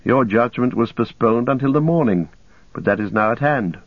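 A man's voice: mid-range voice, lively intonation, moderate pace, dry recording, dull audio. A man voicing an earnest young male hobbit who speaks courteously and formally. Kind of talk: audiobook character voice.

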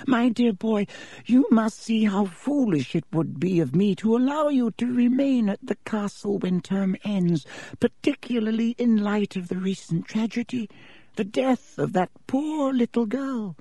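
A man voicing a frail, feeble old male wizard headmaster who talks slowly and kindly in a thin, quavering voice. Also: high-pitched, lively intonation, mellow, slightly rough, dry recording, voice pushed up, exaggerated voice. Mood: grave. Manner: slow, fussy and kindly. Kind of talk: audiobook character voice.

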